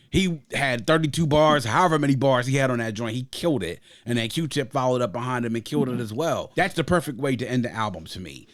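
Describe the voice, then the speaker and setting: A man's voice: mid-range voice, no room sound. A man in mid range chats eagerly about music on a podcast, piling up asides and fillers as he goes. His voice is close and dry, with no room sound behind it. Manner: enthusiastic, rambling with fillers. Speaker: podcast co-host. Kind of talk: casual album review chat.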